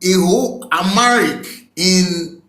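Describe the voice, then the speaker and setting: A man hosting a casual interview, excitable and teasing, jumping in with quick questions. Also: high-pitched. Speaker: podcast host. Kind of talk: casual chat. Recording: roomy echo.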